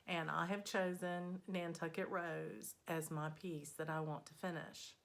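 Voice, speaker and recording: A woman hosting a needlework vlog, her voice still a little scratchy as it recovers, talking in an unhurried, friendly way with plenty of ums. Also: fairly low pitch, level tone, vocal fry. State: slightly scratchy voice, recovering from a cold. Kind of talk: casual chatty progress update.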